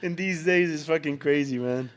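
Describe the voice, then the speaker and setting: A man's voice: on the high side, normal speed. A man from Denmark co-hosting a podcast, chatting casually and bluntly with frequent swearing. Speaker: podcast co-host. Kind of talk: unfiltered casual chat.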